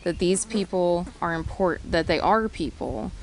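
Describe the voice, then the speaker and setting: A woman's voice: on the low side, a little slow, slight background noise. A woman interviewed for the news, speaking earnestly and passionately with some restarts. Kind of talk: news soundbite.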